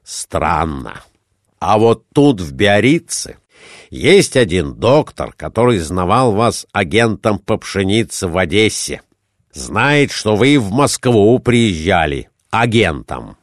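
A man voicing a blunt, suspicious merchant in a storybook reading, questioning in a measured, pointed and persistent way. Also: on the low side, sing-song, dry recording, studio quality. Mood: persistent, needling.

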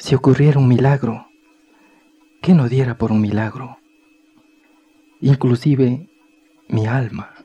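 An actor playing a worried builder in a radio drama, talking in an anxious, pleading, hushed way. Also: male voice, medium pitch, steady tone, slow pace, long pauses, breathy edge. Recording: dull lo-fi recording with faint music.

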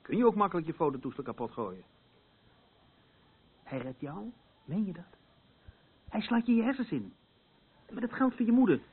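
It sounds narrow and muffled, like a phone line. a concerned male confidant in a radio drama, challenging and protective. His husky voice swings widely in pitch as he presses his questions. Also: big pitch swings, normal speed, long pauses, exaggerated voice. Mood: exasperated. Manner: pointed, questioning, repeating himself. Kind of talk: radio play acting.

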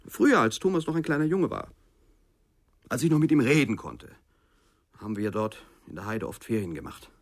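A man's voice: mid-range voice, lively intonation, moderate pace, long pauses. An actor playing a sombre, middle-aged father estranged from his runaway son, speaking reflectively in a radio crime drama. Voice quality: husky.

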